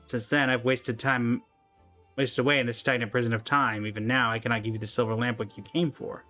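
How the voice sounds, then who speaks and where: A man reading aloud the lines of an ancient, gentle, regretful woman guardian in a video game, in a calm, measured way. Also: mid-range voice, soft background music, lightly acted. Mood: solemn.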